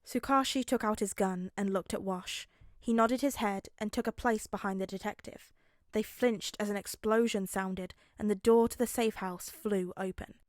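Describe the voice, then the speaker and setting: A woman's voice: medium pitch, fairly even intonation, normal speed, no echo, studio quality. A woman narrating a scripted story as a podfic reader.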